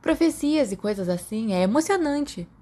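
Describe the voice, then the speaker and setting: A woman's voice: on the high side, animated, normal speed, dark tone, voice pushed up. A woman reading a storybook voices a timid, earnest teenage boy with a plaintive, defensive manner.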